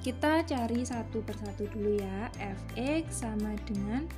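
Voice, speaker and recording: A woman's voice: a little high, a little slow, music in the background. A woman teaching a math lesson to students, explaining step by step. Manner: patient, explains step by step with frequent "ya".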